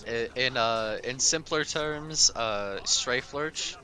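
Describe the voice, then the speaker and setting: A man's voice: mid-range voice, slow, sharp tone. A man co-commentating a live speedrun in a dryly joking way.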